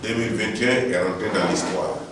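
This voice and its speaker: A male pastor preaching a sermon while suffering from a head cold, speaking slowly and deliberately with emphatic rises. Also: deep voice. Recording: very echoey, distant, faint background noise.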